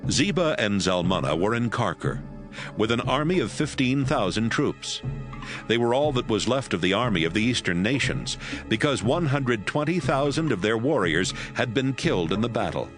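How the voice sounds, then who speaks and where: A man's voice: mid-range voice, lively intonation, moderate pace. A man narrating a dramatized audio Bible in a scripted storytelling style. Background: music.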